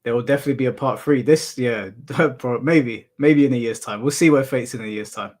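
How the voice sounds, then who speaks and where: A man from the UK with a monotone voice, casually chatting and answering his livestream chat, laughing off insults. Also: mid-range voice, a little fast. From United Kingdom. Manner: relaxed, laughs off insults, addresses chat as bro. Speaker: streamer. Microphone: headphones in, desk mic.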